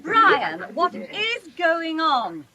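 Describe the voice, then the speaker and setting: A woman's voice: extremely high pitch, huge pitch swings, drawn-out words, over a noisy crowd, exaggerated voice. An actress playing a bewildered, exasperated woman in a comic radio play.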